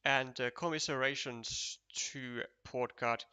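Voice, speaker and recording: A man with a Finnish accent giving casual, unhurried commentary on a board game match for a live stream, chatty and full of fillers. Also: mid-range voice, steady tone, sharp tone, clear, resonant tone.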